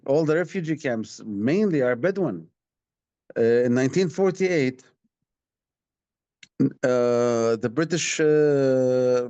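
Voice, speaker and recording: Halting Palestinian man on a dull stream. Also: medium pitch, darkish tone, no echo. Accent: Palestinian Arabic. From Palestinian West Bank. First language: Arabic. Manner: halting, repeats words and phrases. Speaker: activist, director of a community rights organisation. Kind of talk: live online talk answering audience questions. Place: online video call. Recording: dull, lo-fi online stream sound.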